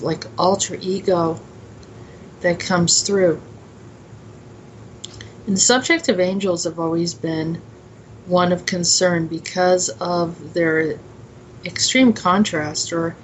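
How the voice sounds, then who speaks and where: A woman giving an informal spiritual talk in a halting, meandering way. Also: fairly low pitch, slight background noise, low-quality recording. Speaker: host and author presenting her own poem.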